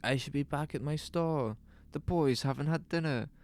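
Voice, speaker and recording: A man playing an anxious, pleading small-town storekeeper in an audio horror drama, halting and self-justifying. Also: on the high side, a little mellow, pitched above the performer's own voice, close to an everyday voice. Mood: nervous relief.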